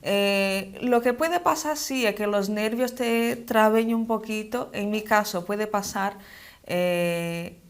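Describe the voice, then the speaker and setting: A young woman speaks Spanish as a second language, with a non-native accent. Her delivery is slow and measured, with some hesitation and repetition, as she answers in a conversational interview. Her pitch is average for a woman. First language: not Spanish, speaks it as a second language. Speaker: interview guest, model and actress.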